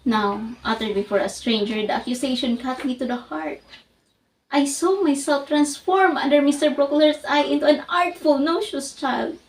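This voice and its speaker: A woman performing a dramatic literary monologue as a wounded, defiant orphan girl, with emphatic, declamatory, drawn-out phrasing. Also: high voice, voice pushed up. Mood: hurt, brooding. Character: wounded, defiant orphan girl confronting her cruel aunt. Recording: distant microphone, audible background noise.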